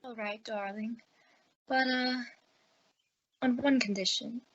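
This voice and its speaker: A woman's voice: a little high, steady tone, takes it slowly, dull audio. A woman playing a wavering, lovelorn young wife in an old-time radio drama. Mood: softened.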